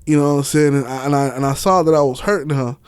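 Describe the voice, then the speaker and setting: Guy telling his story on a podcast, bit high pitched, dry close sound, slangy and cusses. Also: quick pace, clear, resonant tone, no room sound. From Miami, Florida. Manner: slangy, unfiltered, swears freely. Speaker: interview guest, author and stage play director. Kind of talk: casual personal storytelling in an interview.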